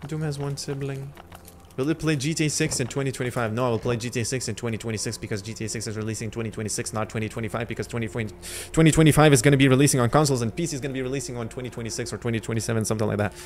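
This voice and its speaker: A man's voice: mid-range voice, steady tone, racing, slight background noise. A young man whose first language is Lithuanian, chatting loosely with his live chat while he plays, talking into a headset. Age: young adult. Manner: rambling, slangy, answers chat between game moves. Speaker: livestreamer. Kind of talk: casual livestream chat while gaming. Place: home streaming room, indoors.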